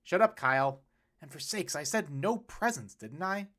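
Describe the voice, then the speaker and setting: A man's voice: a little high, expressive, normal speed, pushed and bright, voice pushed up. A man narrator voicing a fussy, exasperated middle-aged father.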